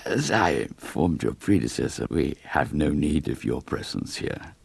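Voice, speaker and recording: A man reads as a cadaverous village mayor in a ghost story, extremely low and gravelly. His speech is measured, formal and coldly polite, with quiet menace in it. The sound is close and dry. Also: animated, no room sound, full character voice.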